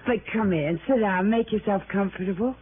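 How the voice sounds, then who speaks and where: A woman's voice: medium pitch, a little fast, natural voice. A tinny, faintly noisy vintage radio recording of an actress as an anxious American wife. She is evasive and hesitant, repeating words as she deflects questions in old-time radio style. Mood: evasive, chatty. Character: evasive, anxious married woman under questioning. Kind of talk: 1960s radio drama acting.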